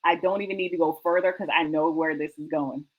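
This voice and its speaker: A woman's voice: low voice, steady tone, fast, narrow, tinny audio. A woman hosting a book talk show, speaking conversationally and enthusiastically in an emphatic, exhorting way that repeats phrases for effect.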